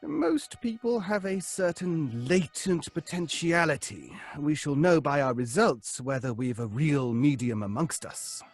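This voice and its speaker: An actor playing an earnest, pedantic professor leading a seance in a radio drama, speaking precisely with hesitant ums. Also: male voice, fairly high pitch, big pitch swings, unhurried, few pauses, soft background music, heavily acted. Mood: pedantic, measured. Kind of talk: old-fashioned radio drama acting.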